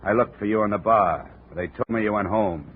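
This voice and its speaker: An actor playing a hard-boiled insurance investigator who narrates a 1950 radio drama in a terse, tough way. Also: male voice, fairly low pitch, fairly quick, breathy edge. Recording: tinny old radio broadcast with hiss.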